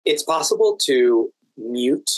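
A man's voice: medium pitch, expressive, takes it slowly, dry recording, low-quality recording. American man telling anecdotes, close dry sound. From Washington DC, United States. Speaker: podcast guest. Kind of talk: anecdotal interview conversation.

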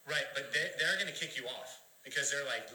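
A man on a talk show guest spot explains insurance plans step by step, upbeat and chuckling now and then. He talks fast in a very bright voice of middle pitch. The studio sounds roomy. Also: slight background noise. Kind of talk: casual explaining.

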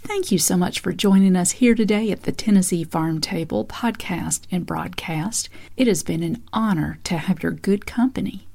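Woman radio host with a creaky, mellow voice. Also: mid-range voice. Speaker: radio show and podcast host. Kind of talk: scripted radio narration.

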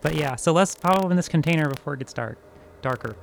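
Higher-pitched man chatting during a game. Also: quick pace, creaky, a little hiss or hum. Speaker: player in a tabletop RPG. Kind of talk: casual game chat.

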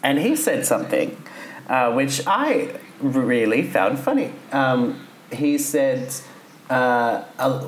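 A man's voice: mid-range voice, expressive, slow pace. A man co-hosting a casual comedy podcast, telling anecdotes in a chatty way and laughing often. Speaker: podcast co-host. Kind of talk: casual comedic chat, storytelling. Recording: roomy echo with faint noise.

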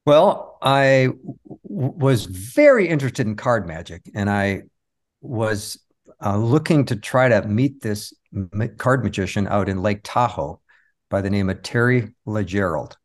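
A man's voice: lowish voice, lively intonation, a little slow. A man being interviewed as a podcast guest, telling stories casually in an earnest, reflective way.

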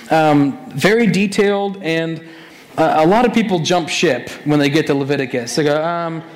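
Male pastor, raspy and hoarse, fairly high voice, chatty sermon delivery full of restarts. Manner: conversational, animated with many restarts.

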